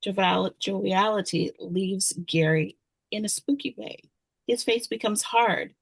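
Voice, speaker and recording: A woman's voice: mid-range voice, unhurried, creaky, husky, no room sound. Dry-sounding woman narrating a screenplay. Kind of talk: scripted narration of screenplay directions.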